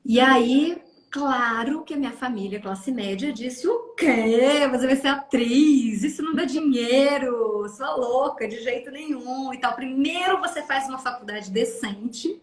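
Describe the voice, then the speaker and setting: A Brazilian woman in her fifties, a therapist telling her life story in an interview, lively and expressive, often tagging phrases with a questioning aside. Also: sits high, measured pace. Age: 50s. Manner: animated, acts out quoted voices, frequent "né?". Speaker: guest, family constellation therapist. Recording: echoey room.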